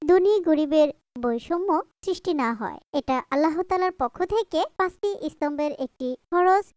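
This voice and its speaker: A woman's voice: extremely high pitch, animated, moderate pace, darkish tone, no echo, studio quality. A woman playing a kind, pious mother in an Islamic moral cartoon, speaking in an earnest, patient way. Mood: earnest teaching.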